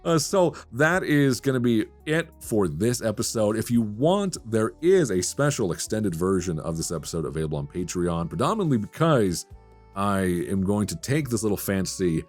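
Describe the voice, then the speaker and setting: A man's voice: on the low side, very animated, moderate pace, darkish tone, faint music behind. A man hosting a solo podcast in a casual, conversational way, speaking with enthusiasm and emphasis. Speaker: podcast host.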